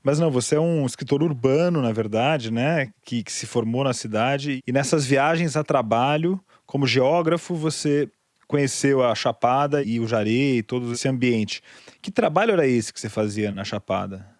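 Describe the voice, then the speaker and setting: A man's voice: medium pitch, animated, moderate pace, dry recording. Host: man, engaged interviewer, close and dry. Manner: engaged, inquisitive. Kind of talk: interview questions.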